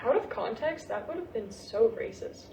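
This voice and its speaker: High, animated sarcastic teenage girl played by a woman. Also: normal speed. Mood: dry, dismissive. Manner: sarcastic, exasperated. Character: prickly, sarcastic half-Japanese American teenage daughter. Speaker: actor in a staged reading. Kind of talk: naturalistic stage play reading. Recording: roomy, echoing, faint background noise.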